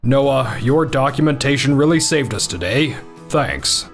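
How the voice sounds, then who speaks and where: A man's voice: mid-range voice, expressive, unhurried, soft background music. A man playing a blunt, dependable young tech team lead in an anime-style manga dub. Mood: wry.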